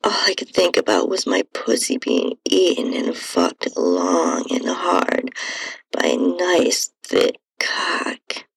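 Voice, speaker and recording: A woman with a breathy, husky, creaky voice reading a first-person story in an intimate, sultry, drawn-out narration style. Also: deep voice, dry recording, low-quality recording. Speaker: podcast host and narrator.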